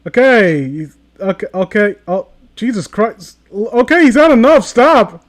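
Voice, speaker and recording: Sarcastic, higher-voiced man riffing casually. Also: animated, measured pace. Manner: sarcastic, foul-mouthed. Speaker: host of a comedy reading channel. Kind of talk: casual riffing commentary while reading a story aloud.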